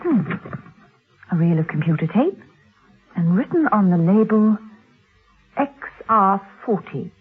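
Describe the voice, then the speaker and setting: Cool secret agent, wry musing, radio drama, husky, thin band-limited vintage sound. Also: female voice, mid-range voice, very animated, phone-quality sound, exaggerated voice. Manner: unhurried, wry, musing aloud. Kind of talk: classic radio drama acting.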